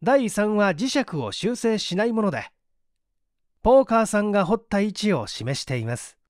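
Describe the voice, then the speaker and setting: Male reader as learned male detective, didactic and assured, high lively voice, mystery audiobook. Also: normal speed, dry recording, clean studio sound. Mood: patient, instructive. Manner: didactic, articulate. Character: male detective scientist, assured, patiently explaining his deductions. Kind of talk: dramatised audiobook reading.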